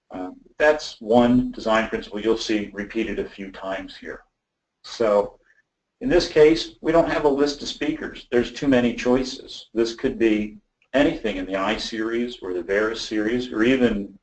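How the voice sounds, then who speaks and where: A man giving an informal, explanatory webinar walkthrough as a technical presenter, with hesitant phrasing and pauses. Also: lowish voice. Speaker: audio system design presenter. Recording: roomy, echoey voice pickup.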